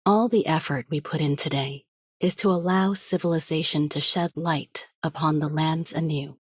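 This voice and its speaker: Game casting: dignified, resolute woman leader, very low husky voice, grave and formal. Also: steady tone, normal speed, no room sound, studio quality. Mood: solemn, nostalgic. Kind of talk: scripted game voice lines.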